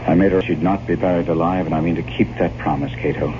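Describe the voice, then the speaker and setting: An actor playing a steadfast, commanding yet uneasy gentleman in a radio horror play, grave and theatrical. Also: low voice, fairly even intonation, quick pace, natural voice. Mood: resolute. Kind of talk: 1970s radio drama acting. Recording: noisy, dull lo-fi old radio.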